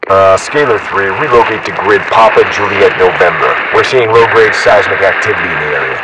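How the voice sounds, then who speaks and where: Casting: man as calm, low-pitched soldier, clipped radio procedure, heavy static and noise. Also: a little fast. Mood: businesslike. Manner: procedural, terse, uses call signs. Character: calm male soldier on military radio net. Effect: military radio filter. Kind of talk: clipped military radio chatter. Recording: noisy, distant military radio transmission.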